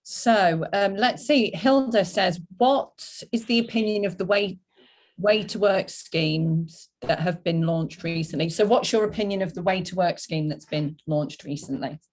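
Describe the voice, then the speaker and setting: A woman's voice: lowish voice, unhurried, a little mellow. A woman hosting a webinar and moderating questions from the audience. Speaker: webinar host.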